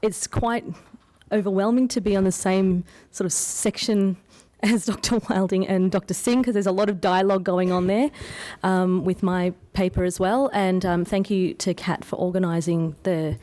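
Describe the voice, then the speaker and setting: A woman's voice: medium pitch, steady tone, normal speed, dark tone. A woman with an Australian accent giving an informal conference talk as an academic, conversational and modest about herself. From Australia, born in England. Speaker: academic presenter, anthropologist. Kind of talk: conference paper presentation, informal.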